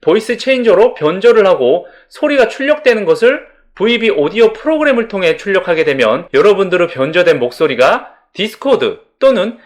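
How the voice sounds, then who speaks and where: Man, fairly high pitch, animated melody, polite formal instruction, scripted how-to narration. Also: a little fast, few pauses. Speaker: software tutorial narrator.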